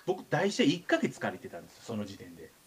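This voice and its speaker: Animated Kansai man telling casual stories. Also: lowish voice, quick pace. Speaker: radio show talker, writer. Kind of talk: casual chat and anecdote telling.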